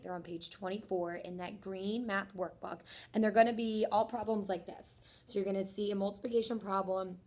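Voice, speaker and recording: A young woman teacher speaks to her third graders with a voice worn hoarse by the day. She is friendly and encouraging, and she explains each step slowly and plainly. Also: medium pitch. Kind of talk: homework explanation video for students.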